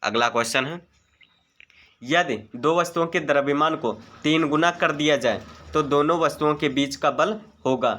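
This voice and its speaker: A man's voice: a little high, normal speed, fairly bright tone. A man teaching an exam-prep lesson, methodically reading out multiple-choice questions, their options and the right answers. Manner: methodical, formulaic delivery.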